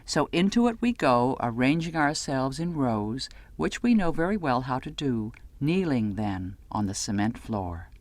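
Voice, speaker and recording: A woman reading scripted first-person literary fiction as an audiobook narrator, with measured, dramatic phrasing. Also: rumbling low, big pitch swings, a little mellow, no room sound.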